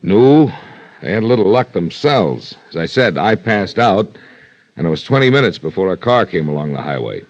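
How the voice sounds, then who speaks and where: A man acting a plain-spoken, rueful highway police lieutenant in a 1950s radio drama, on a dull vintage recording. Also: sing-song, normal speed. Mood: explanatory, steady.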